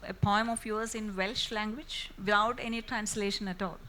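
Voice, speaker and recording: A woman moderating a literature festival panel, asking quick, informal questions with frequent restarts. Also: medium pitch, fairly even intonation, pushed and bright, slight background noise. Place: festival stage.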